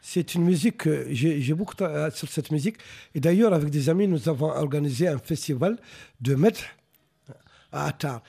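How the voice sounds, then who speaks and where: A Mauritanian novelist, whose first language is Arabic, answers interview questions in a thoughtful way, often pausing and restarting his sentences. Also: a little high, creaky, warm tone, slightly rough. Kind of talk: reflective radio interview answers.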